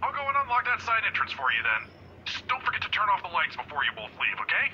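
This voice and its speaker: Man acting bright-voiced, rambling old security guard. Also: high-pitched, huge pitch swings, quick pace, lo-fi sound, a little hiss or hum, heavily acted. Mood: awkward, hesitant. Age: older. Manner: chatty, hesitant, forgetful. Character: chatty, kindly, fumbling old male security guard. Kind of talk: voice-acted visual novel dialogue.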